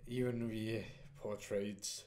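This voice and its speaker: A man's voice: lowish voice, takes it slowly. A young man casually commenting on a strategy game as he plays, hesitating often and reading the on-screen dialogue aloud. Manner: hesitant, many fillers and restarts, reads dialogue in flat voice. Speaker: let's play player.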